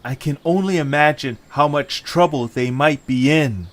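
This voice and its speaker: A man playing an eager, hopeful young adventurer in an amateur audio drama, with excitable, expressive delivery. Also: fairly high pitch, moderate pace, close to an everyday voice. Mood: earnest. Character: eager, hopeful young male adventurer leading his friends.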